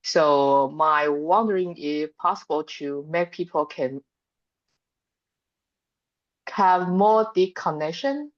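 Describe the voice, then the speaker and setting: Muffled, low, halting Taiwanese-accented woman. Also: animated. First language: Mandarin Chinese. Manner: halting, earnest, simple non-native English phrasing. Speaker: guest, facilitator. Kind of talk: personal interview answer.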